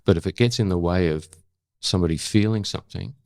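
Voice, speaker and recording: An older man, telling stories in conversation in a thoughtful, halting way that often corrects itself. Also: low-pitched, creaky, darkish tone, dry recording, studio quality. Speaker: interview guest, former journalist and actor. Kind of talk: reflective conversational storytelling.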